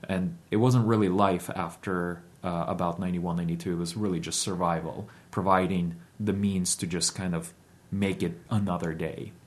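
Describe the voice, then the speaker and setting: Low-voiced man reflecting in an interview. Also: moderate pace. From Ukraine. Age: 30s. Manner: reflective, steady, with frequent ums and uhs. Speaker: guest. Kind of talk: interview storytelling.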